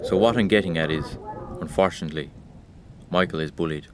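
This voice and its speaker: A man's voice: low-pitched, brisk, a loud crowd, natural voice. A man playing Rain as a weary observer of humanity, addressing the listener directly in a wry, reproachful audio drama monologue. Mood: wry, sombre.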